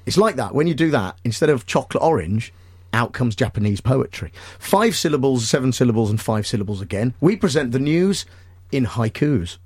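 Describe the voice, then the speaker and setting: A man's voice: mid-range voice, sing-song, a little fast, a little mellow, a little hiss or hum. A man hosting a radio talk show, bantering playfully with lots of hesitations and restarts in a studio. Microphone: studio microphone.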